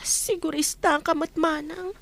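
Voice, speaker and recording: A woman's voice: very high-pitched, fairly even intonation, measured pace, vocal fry, a bit bright, full character voice. A woman playing a distressed, pleading young housemaid in a melodramatic audio drama. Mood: hurt, pleading.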